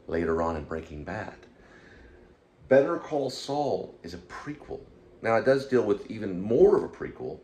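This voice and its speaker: A man hosting his own online show, giving rambling, unscripted commentary in a halting, digressive way, as if thinking aloud. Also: fairly low pitch. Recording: clear background noise.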